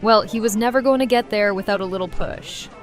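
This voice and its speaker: A woman's voice: mid-range voice, a little fast, faint music behind, close to an everyday voice. A woman playing a brash, affectionate, wisecracking best friend in a conversational audio drama. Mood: casual banter.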